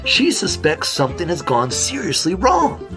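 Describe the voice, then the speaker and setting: Raccoon detective , male actor, earnest boss type, highish and highly animated; kids' mystery radio play. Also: moderate pace, music in the background. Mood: flustered. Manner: polite, businesslike, flustered when surprised. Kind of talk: children's audio drama.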